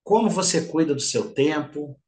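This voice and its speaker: A middle-aged male instructor leads a live online class in a loose, chatty way. He keeps checking in with his students as he goes. His voice sits a bit high for a man. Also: animated, normal speed, darkish tone. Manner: chatty, informal, peppers speech with "tá?".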